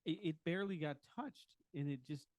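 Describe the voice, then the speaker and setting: A man's voice: on the high side, normal speed, a little mellow, no echo. A man co-hosting a casual podcast chat, rambling and joking as he talks, often restarting his sentences. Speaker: podcast co-host. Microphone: home podcast mic, video recorded.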